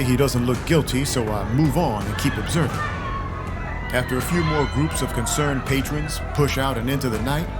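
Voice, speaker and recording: An actor playing a world-weary but compassionate vampire detective, narrating an audio drama in a dry, conversational first person. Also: male voice, mid-range voice, close to an everyday voice. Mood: wry, reflective. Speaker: narrator and lead.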